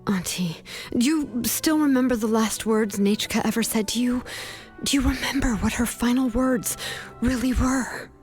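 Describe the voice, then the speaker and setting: An actress playing a resolute, compassionate young craftswoman in a video game, with a measured, earnest delivery. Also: fairly high pitch, a little mellow, soft background music, lightly acted. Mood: firm, sorrowful. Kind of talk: dramatic video game voice acting.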